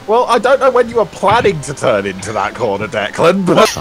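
Sardonic man with highly animated pitch. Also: a little fast. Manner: sardonic, needling. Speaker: online racing game player. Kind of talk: casual teasing banter. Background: faint music and engine noise.

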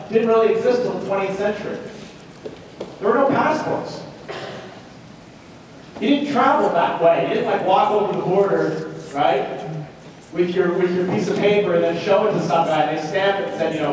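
A man with a fairly high voice gives a conversational university lecture, tossing out rhetorical questions. His voice rings through a very echoey hall and is picked up at a distance from the microphone. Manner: animated, rhetorical questions and check-ins. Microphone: distant room mic. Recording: roomy echoing lecture hall, distant mic, noisy.

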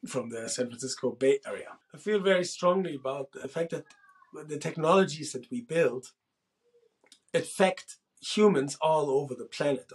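A man's voice: medium pitch, a little mellow. A man in his forties, the host, talking thoughtfully to camera from a small room, often restarting his sentences. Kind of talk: casual monologue to camera.

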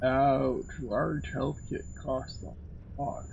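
A man streaming a game, nursing a cold, musing slowly as he plays. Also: medium pitch, steady tone, creaky, a little mellow, muffled recording, a little hiss or hum. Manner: slow, musing, drawn-out remarks. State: has a cold. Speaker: gaming streamer. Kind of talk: let's play commentary.

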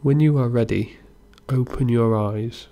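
Male meditation guide: slow, gentle delivery with a gravelly fry and room left between phrases. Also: mid-range voice. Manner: unhurried, gentle instruction with pauses. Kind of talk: guided body scan meditation narration.

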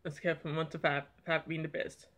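A young man talking plainly and a little haltingly as he reviews eggnog on a home vlog, filmed by a camera on the table in a small room. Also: a little high, level tone, fairly bright tone. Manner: plain, unhurried, slightly halting talk. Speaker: product reviewer. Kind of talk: casual taste-test vlog. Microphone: camera on table in front.

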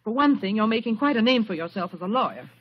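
An actress playing an earnest, desperate young woman in 1940s radio style, with a husky voice. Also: a little high, expressive, quick pace. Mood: urgent. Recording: muffled old radio broadcast.

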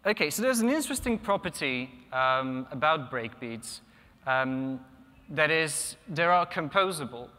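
Young male speaker, Polish native, bright voice, lectern mic, long echo of a big hall, hesitant and thoughtful. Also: a little high, expressive, measured pace. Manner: thoughtful, many ums and restarts. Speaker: conference speaker. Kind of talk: technical conference talk. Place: large conference hall, at a lectern. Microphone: lectern microphone.

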